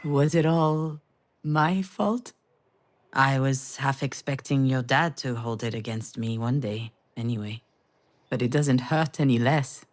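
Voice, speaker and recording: A low-voiced, troubled woman. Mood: concerned, sad. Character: troubled woman haunted by crash, anxious, introspective. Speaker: game heroine and narrator. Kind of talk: acted visual novel dialogue and inner narration. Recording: music behind the voice.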